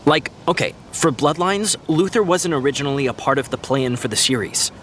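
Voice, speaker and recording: An actor playing an easygoing, diplomatic TV writer who explains things in a reassuring, conversational way, in an audio drama. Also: male voice, fairly high pitch, animated, fairly quick, a bit bright, a little hiss or hum. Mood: earnest explaining. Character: easygoing, diplomatic TV writer consoling a young fan. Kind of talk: naturalistic audio drama dialogue.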